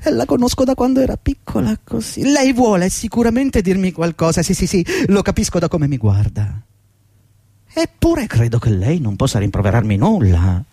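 A man's voice: high voice, warm tone, no room sound, clean studio sound, exaggerated voice. An actor playing a middle-aged, servile and agitated long-time employee pleading with his boss in a fretful, rambling radio drama performance. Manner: fretful, deferential, rambling and insistent. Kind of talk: theatrical radio drama acting.

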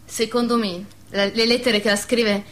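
A bright, forceful emigrant widow with a fairly high voice and a thick Veneto dialect, played by an actress. She is fond and self-important, a radio theatre character who drops English words into her talk. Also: little pitch movement, moderate pace, lightly acted. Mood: fond, admiring. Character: affectionate, boastful emigrant widow back from America.

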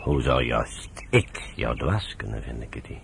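An actor playing a sober, devout British general in a Dutch radio drama, speaking in a measured, earnest and emphatic way. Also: male voice, very deep voice, very animated, creaky, slight background noise, heavily acted. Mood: tender, solemn.

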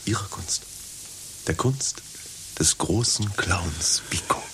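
Awkward, halting, very low-voiced executive. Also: male voice, lively intonation, fairly bright tone, in a whisper, full character voice. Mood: self-satisfied. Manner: halting, repeats himself in short phrases. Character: awkward, humourless male executive, self-important about his ideas. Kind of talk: satirical radio play acting. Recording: hissy radio play recording.

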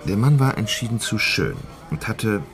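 A sheepish wry village man in a radio play, deep but expressive, music in back. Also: normal speed. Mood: hesitant, defensive. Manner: hesitant, wry. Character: pensive, slightly sheepish village man, doubting and loyal. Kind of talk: naturalistic radio drama acting.

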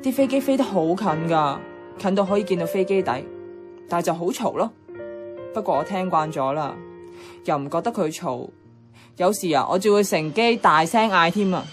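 A woman's voice: fairly low pitch, lively intonation, normal speed, soft background music, natural voice. An actress playing a nostalgic, adventurous young woman in a radio drama, chatting with a Hong Kong Cantonese accent. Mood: dreamy nostalgic. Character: nostalgic, playful, adventurous young woman revisiting her childhood neighbourhood.

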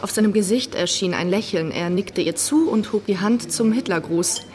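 An actress playing an English female newspaper correspondent, measured and observant, recounting events in a German audio docudrama. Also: medium pitch, darkish tone, a little hiss or hum, lightly acted. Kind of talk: German audio docudrama, first-person recollection.

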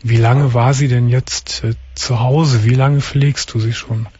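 A man hosting a late-night radio call-in show, asking calm, patient and gently probing questions. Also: medium pitch, measured pace, slightly rough, dry recording. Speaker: radio talk show host. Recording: dull lo-fi radio audio.

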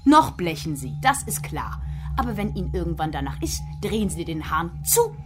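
A woman's voice: lowish voice, very animated, moderate pace, music in the background. An actress playing a blunt, proud working-class woman in a radio drama, scolding in combative colloquial speech. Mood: warning.

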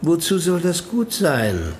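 A bored sardonic prince. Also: male voice, a little high, wildly swooping pitch, slow pace, a little mellow, a little hiss or hum, exaggerated voice. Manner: dry, sardonic, aloof. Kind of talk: radio play acting.

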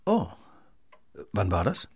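A man's voice: on the low side, wildly swooping pitch, slow pace, breathy edge, heavily acted. An actor playing a shrewd, composed male detective in a German radio drama, probing and precise with dry irony. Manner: probing, precise, dryly ironic.

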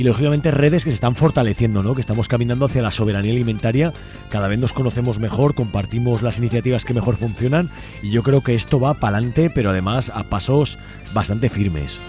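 A man's voice: medium pitch, mellow. A man with an accent from Spain giving an informal radio report as a host, often tagging his phrases with a little question. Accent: Spanish from Spain. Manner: frequently ends phrases with a questioning tag.

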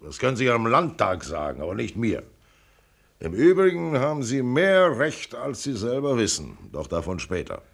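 A man's voice: mid-range voice, wildly swooping pitch, moderate pace, vocal fry, slightly rough, heavily acted. An actor playing a blunt, shrewd older millionaire businessman in a radio play, with brusque, clipped, commanding delivery. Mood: dismissive, shrewd. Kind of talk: radio drama acting.

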